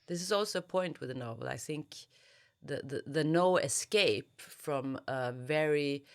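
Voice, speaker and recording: A woman's voice: low-pitched, unhurried. A woman giving a reflective interview as a novelist, thoughtfully searching for her words. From Sweden.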